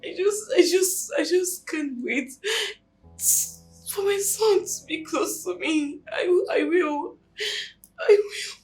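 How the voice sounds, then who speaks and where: A woman acting as a broken, remorseful mother begging forgiveness. She speaks high and slow, often tearful, with soft music playing underneath. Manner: tearful, pleading, repeating apologies. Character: remorseful young mother, drug-troubled, pleading. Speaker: actor.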